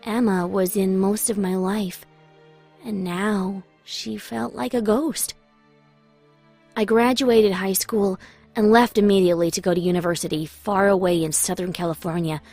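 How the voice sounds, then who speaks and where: A woman's voice: medium pitch, a little mellow. A young woman reading dramatic scripted first-person narration in an emotive, confessional manner.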